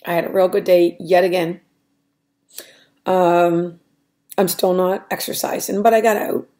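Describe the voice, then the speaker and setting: A middle-aged woman with a raspy voice, talking through her weight-loss diary on a webcam at home, hesitant and full of ums. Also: lowish voice, level tone, measured pace, mellow. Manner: rambling, hesitant, many ums and restarts. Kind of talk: casual diary vlog. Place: small room at home, indoors. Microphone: webcam at desk.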